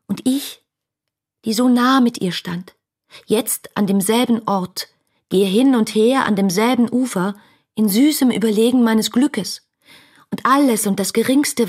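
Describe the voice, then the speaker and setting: A woman's voice: mid-range voice, a little mellow, husky. An actress playing a young, rapturous woman writing letters to her beloved, poetic and intimate in a literary radio play. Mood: pensive, fervent.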